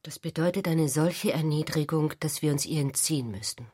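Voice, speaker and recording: A woman's voice: low voice, moderate pace, warm tone, slightly rough. An actress playing a grave, wounded woman who resists humiliation in a literary radio drama. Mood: dejected, resolute.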